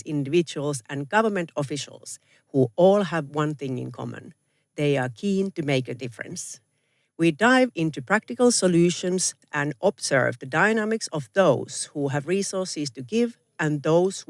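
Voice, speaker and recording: Woman podcast host, hesitant and filler-laden. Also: deep voice. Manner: hesitant, many fillers when asking questions. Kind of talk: podcast interview hosting.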